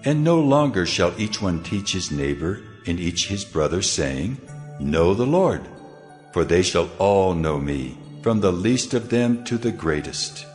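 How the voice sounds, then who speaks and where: An actor playing God, solemnly declaring promises in a measured dramatized scripture reading. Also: male voice, low-pitched, sing-song, darkish tone. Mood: solemn, assuring. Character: God speaking, solemn male divine voice of promise. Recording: long cavernous reverb, music behind.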